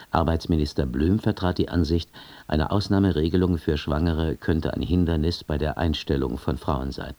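This is a man with an extremely deep, mellow voice. He reads the radio news formally and soberly in standard German from Germany. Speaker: radio newsreader. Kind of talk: scripted news bulletin.

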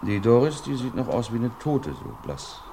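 A man's voice: medium pitch, big pitch swings, normal speed, gravelly, dark tone, slight background noise. An actor playing a plain-spoken, fatherly villager in an old radio play. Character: homely middle-aged male innkeeper, fatherly.

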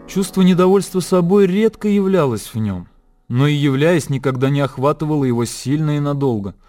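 A man narrating a literary radio drama in a measured storytelling manner. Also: fairly high pitch, warm tone, soft background music.

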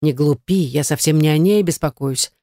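A woman's voice: sits low, steady tone, normal speed, darkish tone, no room sound, studio quality, close to an everyday voice. A woman narrator voicing a stern, commanding man in love with a serious, muted delivery in an audiobook.

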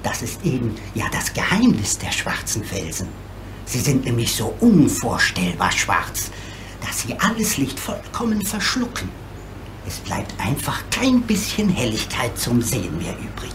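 A kindly old giant for a radio play. He is courteous and earnest, explaining with theatrical, widely swinging intonation. Clear noise runs through the recording. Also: mid-range voice, unhurried. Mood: ominous warning. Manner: polite, explanatory, theatrically expressive. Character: gentle, lonely old male apparent giant, courteous and helpful.

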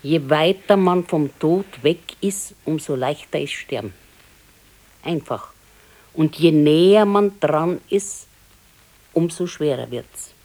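A woman's voice: deep voice, slow pace, warm tone. A stoic elderly wife in a naturalistic radio drama. Her Bavarian-accented speech is terse and matter-of-fact, and she contradicts and scolds in a dry, unsentimental way. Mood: resigned, reflective. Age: older. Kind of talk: naturalistic radio play dialogue.

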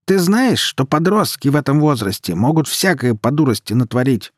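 A man voicing a cranky, worn middle-aged mother with a creaky, grumbling delivery in an audiobook story. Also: animated, moderate pace, dry recording, studio quality, natural voice. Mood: weary grumbling. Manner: grumbling, shrill when upset.

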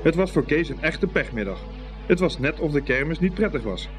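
A man's voice: on the high side, lively intonation, a little fast, music in the background. A man reading scripted storybook narration as the narrator of a radio play.

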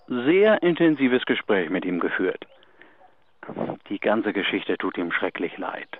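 An actor playing an earnest, polite, well-meaning doctor leaving a professional voicemail in a radio drama. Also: male voice, medium pitch. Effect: telephone voicemail filter. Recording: dry, tinny narrow phone line.

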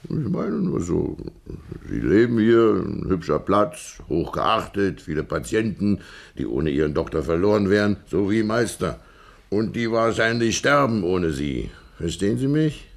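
Deep and gravelly, this is a smug criminal major in a radio thriller. He talks with oily friendliness that carries a threat, his intonation sliding widely up and down. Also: sing-song, measured pace, heavily acted. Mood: silky menace. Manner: oily mock-friendly, insinuating, chuckling menace. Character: smug male criminal posing as a major, menacingly genial blackmailer. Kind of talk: 1960s German radio crime drama acting.

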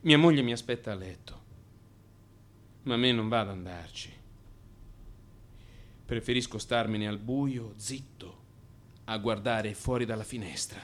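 An actor as a slow-speaking, brooding man in crisis. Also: fairly low pitch, lightly acted. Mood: brooding, hushed. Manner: brooding, intimate, halting confessional delivery. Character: anxious brooding married man in midlife crisis. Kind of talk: dramatic radio monologue.

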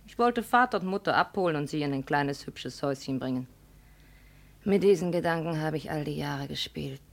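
Grown daughter back home, low voice, brisk but regretful, old radio drama. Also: female voice. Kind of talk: 1950 German radio play acting. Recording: vintage 1950 radio recording.

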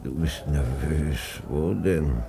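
An actor playing a sober, down-to-earth husband in a German radio drama, with a gravelly voice, calming his wife on a noisy recording. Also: a bass voice, takes it slowly, heavily acted. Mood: calming, practical.

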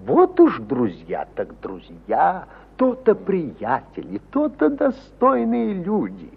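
Garrulous provincial judge, easily amazed, slow drawn-out delivery, husky, vintage muffled radio drama sound. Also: male voice, wildly swooping pitch, full character voice. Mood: astonished. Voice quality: husky, high-pitched. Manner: rambling, drawn-out, swooping exclamations. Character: garrulous, hospitable old-time provincial judge, easily astonished. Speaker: actor in an audio play. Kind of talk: 1950s Soviet radio theatre acting. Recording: muffled 1950 radio play recording.

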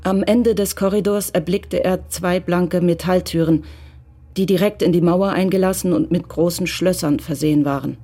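Calm woman narrating a radio crime drama. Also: fairly low pitch, moderate pace, husky.

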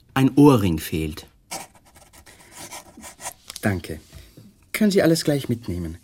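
An actor playing a polite, probing police detective who asks questions calmly in a 1980s radio drama. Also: male voice, mid-range voice, a little hiss or hum, natural voice. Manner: calm, formal questioning.

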